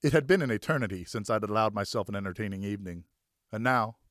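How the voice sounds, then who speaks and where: A man's voice: lowish voice, lively intonation, quick pace, a bit bright, dry recording, clean studio sound. A man narrating a first-person story as an audiobook reader, in a scripted, dramatic storytelling style.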